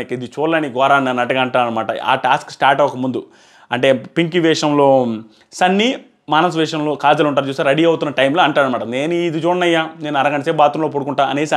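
A man, giving an informal, animated review as he explains and repeats his points into a lapel mic. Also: fairly high pitch. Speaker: reality show reviewer. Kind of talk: informal episode review commentary. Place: small plain indoor room. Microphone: clip-on lapel mic.

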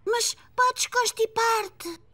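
Little kid from a cartoon, super high and bright, caring worrier, european portuguese accent. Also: very slow, exaggerated voice. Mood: concerned. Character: anxious, caring four-year-old boy and his little playmates. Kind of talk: children's cartoon dubbing.

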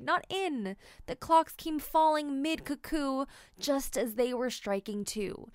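A woman narrates a children's storybook in a smooth, fairly high voice. She reads at a very unhurried pace, and the recording is dry and close. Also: fairly bright tone, dry recording. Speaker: storybook reader. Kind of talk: scripted children's read-aloud narration.

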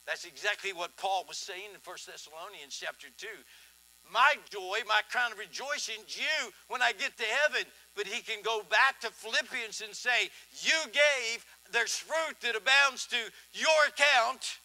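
An older man preaching a folksy sermon on a church stage, swinging from quiet asides to loud emphasis. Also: sits high, measured pace, low-quality recording. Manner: folksy, swings from soft to loud, asks "huh?".

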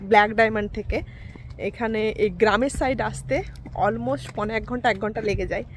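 A woman's voice: a little high, fairly even intonation, normal speed, a little hiss or hum. A woman from India, narrating a casual vlog in a chatty, rambling way with hesitant fillers. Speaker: vlogger.

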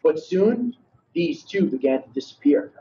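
A man's voice: fairly high pitch, lively intonation, unhurried, dark tone. Man reading to children, dull call audio. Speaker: read-aloud co-host, educator. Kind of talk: storybook reading and friendly chat with children. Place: large meeting room indoors. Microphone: video call, distant from camera.

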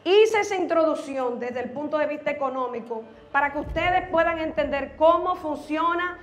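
High-pitched Dominican woman giving an emphatic talk. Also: moderate pace. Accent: Dominican Spanish. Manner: emphatic, explanatory, with rhetorical questions. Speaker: speaker, activist. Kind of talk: informal public talk on economics. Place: indoor room at a speaking event. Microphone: handheld microphone. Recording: roomy echo, music underneath.

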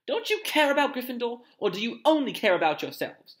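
A storybook reader voicing a bossy, self-righteous schoolgirl who scolds in a shrill, indignant, nagging way. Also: big pitch swings, a little fast, lightly acted.

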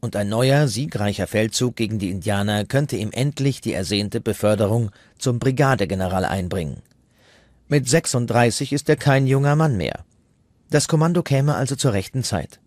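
A man's voice: mid-range voice, animated, normal speed, darkish tone, dry recording. A man reading scripted documentary narration as the narrator.

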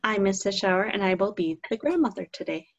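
A woman with a mid-pitched voice reads a scripted part in a school play as a teacher would. The sound is dull and lo-fi, coming over a video call. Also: expressive, brisk. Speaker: teacher taking part in a class reader's theater.

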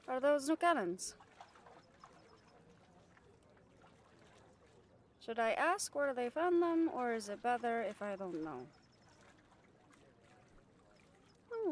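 A woman's voice: on the high side, a little hiss or hum, natural voice. A woman playing a brash, cheeky catgirl pirate in an audio roleplay, bantering with salty sarcasm. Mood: teasing, excited. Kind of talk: roleplay audio drama acting.

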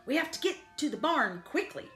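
A woman voicing a bossy, excitable male farm dog with emphatic, barking delivery for a storybook. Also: very high voice, moderate pace, echoey room, soft background music, voice pushed up. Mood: urgent alarm.

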